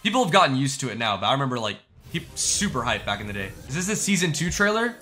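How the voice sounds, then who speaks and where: A young man giving casual reaction commentary as a gaming YouTuber, informal and slangy, thinking out loud as he goes. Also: mid-range voice, big pitch swings, fast.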